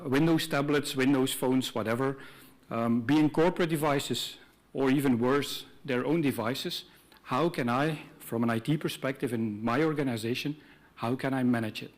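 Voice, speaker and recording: Man, from Belgium, non-native English speaker, middle-aged, conference presenter, casual and chatty, frequent ums, medium pitch. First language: not English. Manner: conversational, relaxed, with frequent ums. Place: conference stage.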